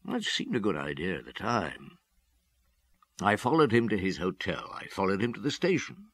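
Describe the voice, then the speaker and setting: A brooding, deep-voiced detective. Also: male voice, big pitch swings, moderate pace, a bit bright, husky, no room sound, studio quality, exaggerated voice. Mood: haunted, reflective. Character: brooding, guilt-ridden police detective, world-weary middle-aged man. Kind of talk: radio drama acting.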